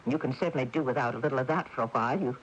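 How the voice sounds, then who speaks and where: A woman's voice: a bass voice, rapid-fire. A woman acting an earnest, exasperated householder in a radio mystery drama. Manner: earnest, expressive, scolding. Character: exasperated, plucky woman householder, the ghost's descendant. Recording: dull, hissy 1980s radio tape.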